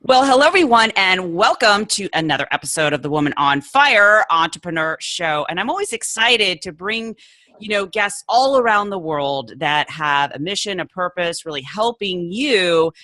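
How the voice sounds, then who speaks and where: Upbeat female podcast host, bright forceful tone, laughs often, eager chatty delivery. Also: fairly low pitch, lively intonation, normal speed, no echo. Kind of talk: upbeat interview hosting.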